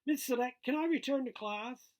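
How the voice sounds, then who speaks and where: A man's voice: extremely high pitch, moderate pace, darkish tone, pitched above the performer's own voice. A man voicing an earnest, indignant teenage schoolboy from a novel, speaking emphatically and accusingly. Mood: hurried, polite.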